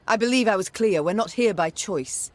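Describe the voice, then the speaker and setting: A woman's voice: mid-range voice, expressive, moderate pace, darkish tone, dry recording, lightly acted. A woman playing a proud, commanding queen in a fantasy game, speaking in a formal, pointed way. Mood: indignant. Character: proud, commanding warrior queen questioning a mercenary. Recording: background music under the dialogue.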